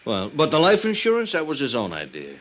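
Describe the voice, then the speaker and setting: An actor playing a defensive, blustering booking agent with an American accent in a 1950s radio crime drama. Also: male voice, a little high, huge pitch swings, brisk, a bit bright. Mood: self-justifying. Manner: blustering, repetitive, streetwise wise-guy talk. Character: brash, defensive, shady male booking agent with a temper.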